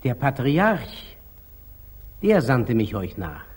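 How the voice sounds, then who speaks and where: Slow, husky, humble lay brother. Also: male voice, medium pitch, big pitch swings, a little mellow, heavily acted. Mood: cautious. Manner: hesitant, meek, deliberate. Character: humble, artless, obedient monastery lay brother. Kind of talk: 1940s German radio stage acting.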